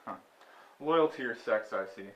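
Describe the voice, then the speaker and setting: A man's voice: medium pitch, animated, normal speed, a bit bright, close to an everyday voice. A man acting in a stage play as a condescending county attorney, questioning people in a smug, probing way. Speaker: stage actor. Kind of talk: amateur stage play dialogue. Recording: dull, muffled stage recording.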